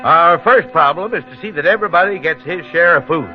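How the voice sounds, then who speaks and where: An actor playing a steady small-town leader in a 1940s radio drama, deliberate and reasoning. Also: male voice, on the high side, loud music behind the voice, close to an everyday voice. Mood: earnest, resolute. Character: earnest, steady small-town leader chairing an emergency meeting. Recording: muffled old radio recording.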